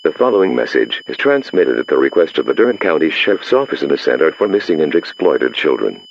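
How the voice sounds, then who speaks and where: A man's voice: extremely low pitch, big pitch swings, fast talker, creaky, exaggerated voice. A man's voice as a stern automated emergency broadcast announcer, reading a formal scripted alert over a lo-fi radio sound. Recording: dull lo-fi radio alert, faint hum.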